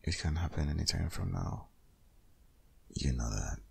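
A man acts out a protective, worried boyfriend in an ASMR audio roleplay. His voice is extremely low and full of heavy creak, husky and breathy to the point of rasping. He pleads and insists up close, often slipping toward a whisper. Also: very animated, normal speed, full character voice. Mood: firm, protective. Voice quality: very deep, gravelly, husky, often half-whispered.

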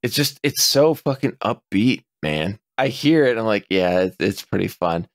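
A man in his forties on a podcast, chatting casually, animated and swearing freely as he acts out customers' lines. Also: fairly low pitch, moderate pace, dry recording, clean studio sound. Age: 40s. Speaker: podcast co-host.

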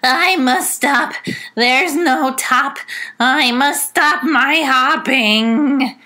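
A woman's voice: high voice, extremely slow, pushed and bright, pitched above the performer's own voice, full character voice. A woman doing a sing-song hopping rabbit. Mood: weary. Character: eager hopping male rabbit.

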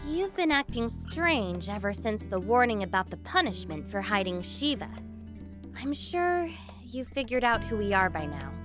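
A woman's voice: a little high, very animated, normal speed, over music. An actress playing a shrewd, direct young woman on the run in a video game, probing and self-assured. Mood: suspicious, probing.

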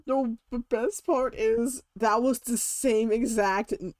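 A man's voice: fairly even intonation, slow pace, dry recording, studio quality. A young man chatting casually while streaming a video game, playful and laughing often. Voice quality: naturally deep for him but light, giggly. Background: faint game music.

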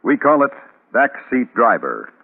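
A man's voice: mid-range voice, huge pitch swings, unhurried, vocal fry. A man making a scripted announcement in the style of a 1950s radio announcer. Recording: tinny narrowband 1950s radio recording. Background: loud orchestral brass music.

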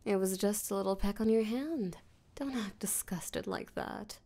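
Slow, creaky, seductive vampire voiced by a woman. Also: medium pitch, warm tone. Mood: teasing, seductive. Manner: breathy, teasing, flirtatious, slow and deliberate. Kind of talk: intimate roleplay audio drama, spoken to the listener.

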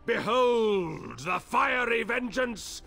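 An actor voicing an enraged fanatical male cult leader in a fantasy game, declaiming grand accusations in a hoarse shout. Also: very high voice, animated, extremely slow, heavily acted.

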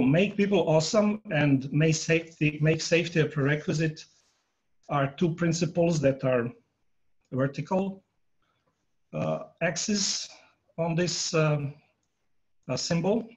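A man in his fifties, a native Croatian speaker, giving a workshop talk on a video call from home, pausing often with fillers. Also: a little high, highs cut off. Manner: halting, many filler pauses, polling the audience. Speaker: workshop presenter. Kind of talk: online conference talk. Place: home room. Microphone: earphone headset on a video call.

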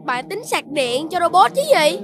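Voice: very high-pitched, sing-song, moderate pace, over music, heavily acted. A performer voicing a young cartoon puppy boy, childlike and exclamatory, with a southern Vietnamese accent. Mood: cautious. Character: young Dalmatian puppy boy, eager, dreams of heroism. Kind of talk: cartoon dubbing.

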